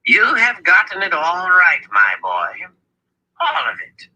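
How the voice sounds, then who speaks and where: Man voicing an emphatic old wizard mentor, high-pitched. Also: huge pitch swings, takes it slowly, sharp tone, breathy edge, exaggerated voice, low-quality recording. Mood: proud. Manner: emphatic, fatherly admonishing. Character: old wizard mentor, grave yet affectionate and proud.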